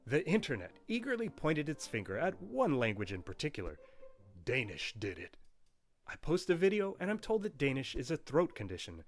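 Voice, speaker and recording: A man's voice: on the high side, wildly swooping pitch, normal speed. A man narrating a scripted history of a language in a playful, wry storytelling manner. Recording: narration with faint background music.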